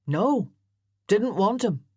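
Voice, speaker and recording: Lad, blunt and laconic, put on by a woman, loud and plain. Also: medium pitch, animated, slow pace, husky, dry recording, studio quality, voice pushed up. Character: plain-spoken, easygoing country lad.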